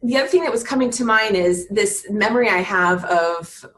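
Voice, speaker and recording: A woman's voice: mid-range voice, moderate pace, a bit bright. A woman in her thirties talking earnestly as an interview guest, often restarting her sentences and filling with "you know". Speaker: guest on a business podcast. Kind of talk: reflective conversational chat.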